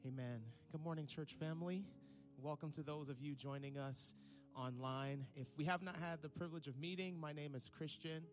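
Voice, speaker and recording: Upbeat worship pastor, slightly high voice. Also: male voice, fairly even intonation, moderate pace, over music. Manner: upbeat, conversational, calls for audience response. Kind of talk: church announcement.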